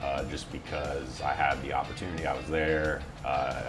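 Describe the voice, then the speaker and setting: A very low-pitched American man talks casually as an interview guest. He is wry and quick to poke fun at himself, and guitar music plays clearly behind him. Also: little pitch movement. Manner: self-deprecating, wry. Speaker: interview guest, office voiceover talent.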